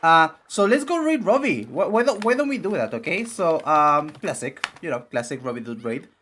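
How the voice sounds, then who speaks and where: A young man with a raspy voice streaming casually, rambling with many fillers and restarts. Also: on the high side, sing-song, moderate pace, fairly bright tone, a little hiss or hum. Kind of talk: casual live-stream chat and reading game text.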